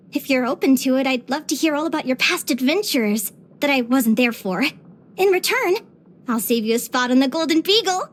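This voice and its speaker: High-voiced bubbly young adventurer girl. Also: slight background noise. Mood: warm, excited. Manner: expressive, chirpy, with sighs and giggles. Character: bubbly young female adventurer and naturalist, curious and sweet. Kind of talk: scripted video game character voice lines.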